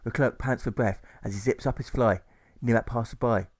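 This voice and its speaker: A man narrates a rhyming audiobook in a mid-pitched voice. His delivery is rhythmic, stressing rhymes and repeated sounds, with a very dry recording. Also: fairly even intonation, moderate pace, darkish tone, no echo. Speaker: author reading his own audiobook.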